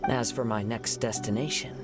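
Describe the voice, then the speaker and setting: A man acts a young wandering swordsman from a video game. He is mid-pitched, gentle and reflective, choosing formal words calmly over loud music. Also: natural voice. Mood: calm, resolute. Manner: calm, measured, formal and reflective.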